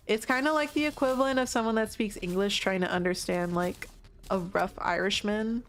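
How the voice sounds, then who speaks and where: A young American woman streaming a game, chatting casually with her viewers, swearing now and then and laughing often. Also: lowish voice. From United States. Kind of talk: casual gaming livestream chat.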